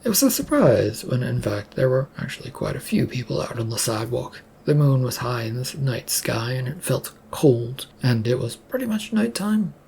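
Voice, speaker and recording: A narrator reading a scripted story aloud in a slightly halting, amateur way. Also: medium pitch, big pitch swings, creaky, darkish tone, slight background noise.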